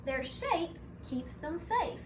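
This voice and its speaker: A woman teacher reading a picture book aloud to young children, pausing to explain things simply and point them out. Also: very high-pitched, big pitch swings, background noise.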